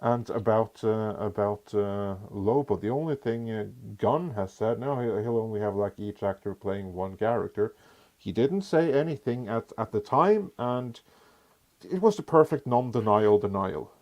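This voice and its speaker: A man commenting on a casual panel, speaking in a measured, deliberate way with halting phrasing. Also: lowish voice, lively intonation, a little mellow. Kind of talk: casual film news discussion.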